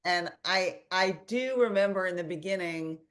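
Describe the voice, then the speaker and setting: A woman with a fairly low voice talks over a video call link. She tells a story with lots of energy, restarting her sentences and acting out quoted asides as she hosts a casual webinar chat. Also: moderate pace, a bit bright. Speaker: host and company founder.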